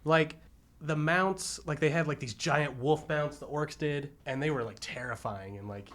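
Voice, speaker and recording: A man's voice: a little high, normal speed, fairly bright tone. A man co-hosting a podcast, giving his opinions on films in loose, colloquial, casual chat. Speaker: podcast co-host and film reviewer. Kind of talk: casual movie review chat.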